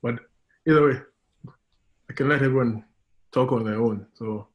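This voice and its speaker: A man's voice: medium pitch, normal speed. A man from Kenya coordinating an online class, joking and chatting casually on a video call. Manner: joking, talks in restarts. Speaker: course coordinator.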